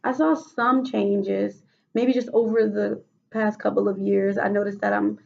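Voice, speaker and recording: A woman with a raspy voice answering questions as a patient in a role-played clinical interview, hesitant with many fillers and restarts. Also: medium pitch, fairly even intonation, a little slow, darkish tone, dull audio. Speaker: mock patient in a role-play case study.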